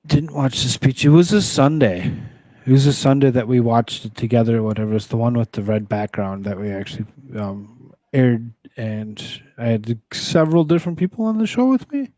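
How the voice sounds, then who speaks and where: A man presents casual political commentary in a soft-edged, mellow voice. He moves between reading articles and rambling conversational reactions. The recording is very dry, with little room sound. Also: mid-range voice, normal speed, no echo. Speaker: host of a political news show.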